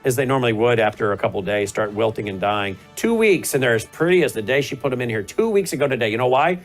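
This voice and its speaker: A man's voice: mid-range voice, quick pace, soft background music. Male talk host, middle-aged, emphatic, pushes hard on rhetorical questions. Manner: emphatic, rising to forceful questions. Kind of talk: political commentary and panel hosting.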